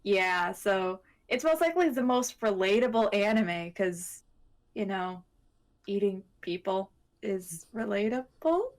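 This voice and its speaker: A woman's voice: mid-range voice, animated, unhurried. A woman in casual podcast chat, co-hosting with a hesitant, meandering, giggly way of talking. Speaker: podcast co-host.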